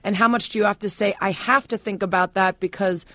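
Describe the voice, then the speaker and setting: A woman hosting an interview, with a voice lowered by vocal cord surgery, chatty and affirming as she asks long questions. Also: fairly even intonation, a little fast, sharp tone, dry recording. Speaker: podcast host, interviewer. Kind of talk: conversational interview.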